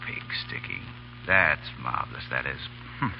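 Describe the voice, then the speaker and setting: An actor playing a brash, impatient army lieutenant, blunt and sarcastic, in a 1930s radio drama. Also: male voice, extremely low pitch, big pitch swings, moderate pace, gravelly, over music, heavily acted. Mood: grumbling. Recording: dull 1930s radio recording.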